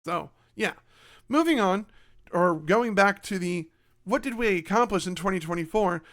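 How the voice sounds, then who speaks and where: A man with a slightly raspy voice giving a chatty, self-mocking channel update to his viewers. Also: sits high, lively intonation, moderate pace, fairly bright tone. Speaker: YouTube creator. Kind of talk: casual channel update monologue.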